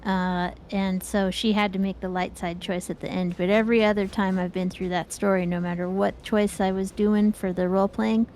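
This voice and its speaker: A woman's voice: medium pitch, level tone, normal speed, few pauses, a little mellow, slight background noise. A woman co-hosting a gaming show, chatting casually in a playful, rambling way while she plays. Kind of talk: casual chat while playing an online game.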